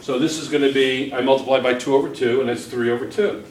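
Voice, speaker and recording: A man's voice: medium pitch, steady tone, fast talker, slight background noise. Man teaching chemistry in an echoey classroom. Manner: encouraging, questioning students constantly. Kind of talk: classroom lesson at whiteboard. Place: classroom with whiteboard. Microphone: far camera. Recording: roomy classroom from a distant camera.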